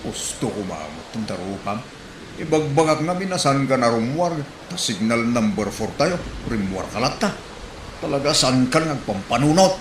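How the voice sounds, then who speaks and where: A man's voice: medium pitch, very animated, moderate pace, lightly acted. A man playing an angry, fed-up, sarcastic husband with an Ilocano accent in a comedy drama. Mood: stern. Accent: Ilocano Filipino. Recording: roomy echo, clear background noise.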